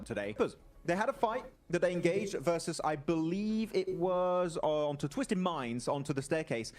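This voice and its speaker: A man giving brisk, analytical commentary on a mobile esports broadcast. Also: on the high side, a little mellow.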